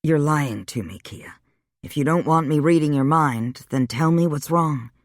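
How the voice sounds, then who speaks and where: A woman voicing a centuries-old male vampire who speaks in low, clipped, dry replies. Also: moderate pace, no echo, lightly acted. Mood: calm.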